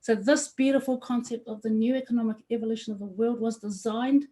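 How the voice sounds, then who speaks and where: A young woman from New Zealand giving an earnest promotional presentation for her company, often pausing on ums and restarting phrases. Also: a little high, steady tone, dark tone.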